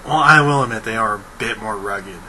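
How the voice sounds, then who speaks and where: A man's voice: lowish voice, fairly quick, bright, forceful tone. A young man chatting casually in a blunt, matter-of-fact way. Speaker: participant in a phone chat. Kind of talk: casual chat. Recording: noisy phone-call recording.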